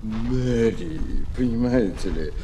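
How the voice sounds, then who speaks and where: An actor playing a pompous, dithering penguin poet who declaims theatrically in a children's audio play. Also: male voice, mid-range voice, takes it slowly, mellow, soft background music, close to an everyday voice. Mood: fussy, musing. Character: fussy, pompous male penguin poet, absorbed in rhyming. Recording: noisy old flexi-disc record.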